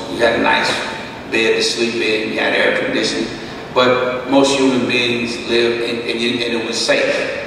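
A middle-aged man teaching a Bible study as pastor, talking conversationally with many fillers and a slightly rough voice, at a podium mic. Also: mid-range voice. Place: church hall, indoors. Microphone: podium microphone, far camera. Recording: echoey hall, distant mic, background hiss.

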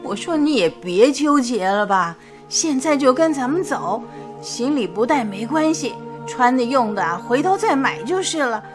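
High-voiced scolding middle-aged mother. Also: lively intonation, moderate pace, music in the background. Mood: urging. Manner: scolding, colloquial, rhetorical questions. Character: blunt, exasperated middle-aged mother, scornful and practical. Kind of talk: radio drama acting.

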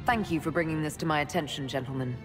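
A young woman leader, voiced by an actress, low-set and direct, asking crisp questions. Faint music runs under her lines in this fantasy game. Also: fast talker, natural voice. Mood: steady. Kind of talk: video game voice acting.

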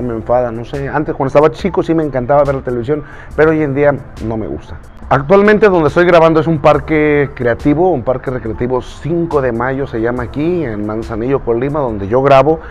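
A man's voice: medium pitch, a little mellow, muffled recording. A man in his thirties from Mexico with a Mexican Spanish accent, chatting to camera as a YouTuber and voice impressionist. He talks earnestly, checks his notes and gestures with his hands while he speaks. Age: 30s. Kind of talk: casual talk to camera. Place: outdoors in a recreational park, standing against a pale wall.

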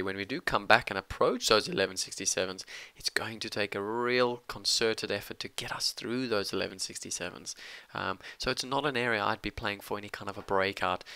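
Crisp, bright-voiced man teaching trading. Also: on the low side, brisk. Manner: emphatic, punctuates points with "okay". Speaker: trading instructor. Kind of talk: screen-share trading lesson.

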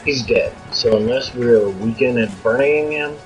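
An actor playing a wary, dry-witted henchman in an audio drama, with a wry, deliberate delivery. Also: mid-range voice, soft background music, close to an everyday voice, poor audio. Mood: wry, uneasy.

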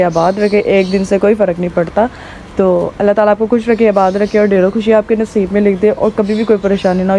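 A hoarse woman rambles through a casual vlog, her voice mellow and soft-edged. She films outdoors on a rooftop with a phone camera. Faint traffic and outdoor noise sit behind her. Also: medium pitch. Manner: chatty, rambling, with many fillers. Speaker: vlogger.

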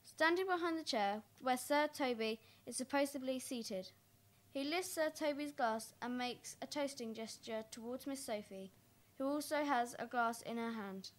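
A woman's voice: sits high, normal speed. A young woman reading scripted stage narration for a radio play. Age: young adult.

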